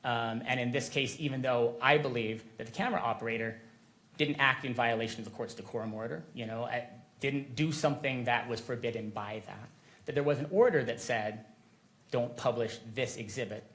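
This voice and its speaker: A man speaking as an attorney in court, making a measured, deliberate formal argument. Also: medium pitch, a bit bright. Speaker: attorney for a media coalition.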